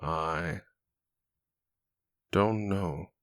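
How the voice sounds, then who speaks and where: A performer voicing a giant, solemn male cat-warrior in an audio drama, low and hushed, speaking gravely in few words. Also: full character voice. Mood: subdued, sorrowful. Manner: slow, terse, grave. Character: giant gentle solemn cat-man warrior, protective and sorrowful.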